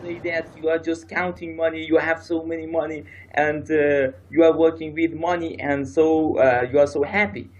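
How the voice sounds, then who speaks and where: A man's voice: a little high, little pitch movement, normal speed, few pauses, dull audio, a little hiss or hum. A man speaking casually in non-native English, hesitant and full of fillers. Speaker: interviewee. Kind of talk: casual chat.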